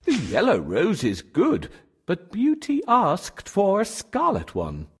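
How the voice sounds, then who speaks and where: A man acting a high-voiced, pleading merchant father. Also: wildly swooping pitch, slow, slightly rough, heavily acted. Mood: delighted. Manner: pleading, dramatic. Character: frightened, devoted old merchant father, gentle and pleading. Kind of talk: animated storybook dialogue.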